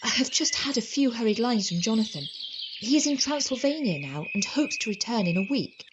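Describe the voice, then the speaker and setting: A slightly dull recording with clear outdoor noise carries a woman acting a young schoolmistress of radio drama. She is genteel and affectionate, voicing letters with wistful, formal tenderness. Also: on the high side, expressive, fairly quick, close to an everyday voice. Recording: dull, noisy recording with birdsong.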